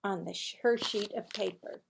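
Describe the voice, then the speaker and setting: A woman reading a children's storybook aloud in a deliberate, expressive storytelling way. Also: medium pitch, vocal fry, a little hiss or hum, rough recording.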